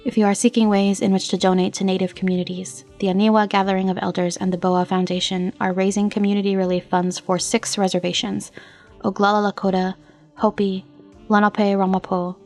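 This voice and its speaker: A woman's voice: mid-range voice, level tone, brisk, darkish tone. A woman podcast host reading a scripted outro. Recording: music playing behind the voice. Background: music.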